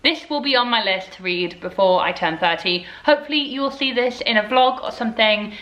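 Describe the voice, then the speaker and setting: A woman's voice: fairly high pitch, a little fast, fairly bright tone, dull audio. A woman in her twenties chatting casually to camera as a book vlogger, rambling with lots of fillers. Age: 20s. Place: indoors at home, in front of bookshelves. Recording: echoey room.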